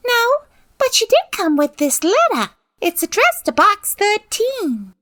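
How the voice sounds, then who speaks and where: A woman's voice: very high-pitched, wildly swooping pitch, unhurried, a bit bright, exaggerated voice. A woman playing a naive, chirpy young secretary in an old-time radio comedy. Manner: chirpy, guileless. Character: perky, naive young female office secretary, slightly ditzy.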